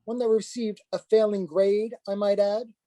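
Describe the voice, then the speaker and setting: A man's voice: sits high, flat delivery, warm tone, no room sound, natural voice. Stern, dryly sardonic priest played by a man. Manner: formal, measured, lecturing. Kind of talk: dramatized play script reading.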